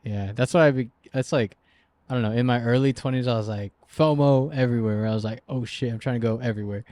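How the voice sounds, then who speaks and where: A man in his twenties co-hosting a podcast, talking in a relaxed, slangy way and laughing often. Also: medium pitch, fast. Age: 20s. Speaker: podcast co-host. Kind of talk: casual chat.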